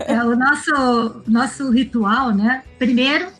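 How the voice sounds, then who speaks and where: Brazilian lady chatting on a podcast, husky voice a bit high, telling trip stories. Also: steady tone, slow, fairly bright tone. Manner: chatty, seeks agreement with tag questions. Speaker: podcast guest. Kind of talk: casual travel storytelling.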